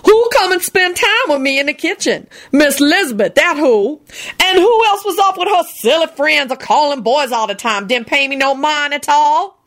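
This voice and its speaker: A woman's voice: high-pitched, lively intonation, moderate pace, voice pushed up. A woman voicing a bossy, outspoken older cook who grumbles and lectures in folksy, ungrammatical speech. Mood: scolding. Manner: loud, folksy, ungrammatical talk with shrieks.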